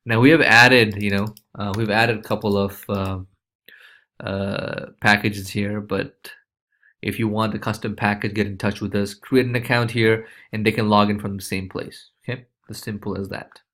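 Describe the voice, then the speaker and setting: A man presenting a casual software walkthrough, talking informally and loosely with frequent short check-in tags. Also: lowish voice, flat delivery, moderate pace.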